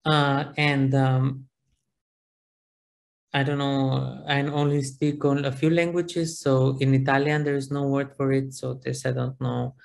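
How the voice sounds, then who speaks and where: A man whose first language is Macedonian speaks in English during an online class discussion, pausing often and searching for words. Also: mid-range voice, level tone, vocal fry. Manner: hesitant, searching for words, many fillers.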